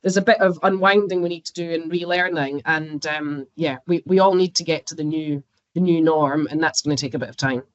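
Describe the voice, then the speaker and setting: A woman's voice: low voice, a little fast, no echo, muffled recording. A woman with a Scottish accent, a banking expert guest talking casually in a podcast interview and laughing often. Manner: chatty, laughs often, uses fillers.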